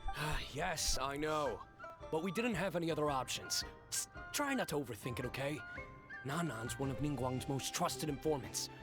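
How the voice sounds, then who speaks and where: Actor as a shifty schemer with swooping intonation. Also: male voice, fairly high pitch, animated, normal speed, close to an everyday voice. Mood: defensive, dismissive. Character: shifty, dismissive schemer, impatient and overconfident. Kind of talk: video game voice acting. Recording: background music under the voice.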